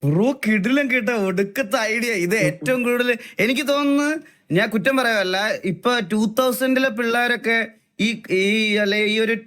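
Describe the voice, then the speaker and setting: A man's voice: very high-pitched, moderate pace, a bit bright, slight background noise. A young man with a Malayali accent chatting casually as a reaction streamer, full of slangy exclamations and repeated phrases. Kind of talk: casual live reaction chat.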